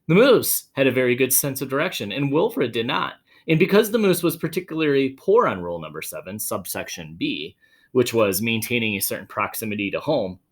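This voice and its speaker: Man reading aloud, wildly expressive pitch. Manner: expressive, dramatic storytelling, addressing children directly. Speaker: children's read-aloud host, teacher or school staff. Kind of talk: animated picture-book read-aloud with chatty asides.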